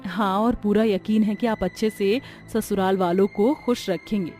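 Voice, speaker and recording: A woman voicing a kind young sister-in-law in a cartoon story, gentle, polite and reassuring. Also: medium pitch, steady tone, moderate pace, soft background music, close to an everyday voice. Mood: soothing.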